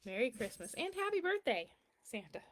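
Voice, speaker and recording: A woman's voice: on the high side, big pitch swings, normal speed, slight background noise. A woman voicing a kindly, very old man who answers a child's letters in a storybook, reassuring and explanatory. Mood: cheerful, gentle. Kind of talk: children's storybook read-aloud.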